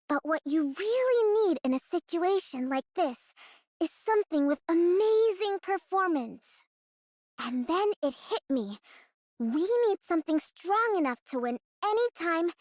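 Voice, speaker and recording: A woman voicing a brainy, proud little girl inventor in a video game, childlike, earnest and expressive. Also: very high voice, dry recording, studio quality, heavily acted. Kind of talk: voiced game event dialogue.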